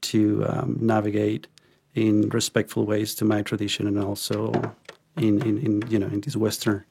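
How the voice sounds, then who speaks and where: Low gravelly man from Ecuador. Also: normal speed. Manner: relaxed, hesitant with many ums and restarts. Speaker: podcast guest. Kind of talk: casual interview conversation.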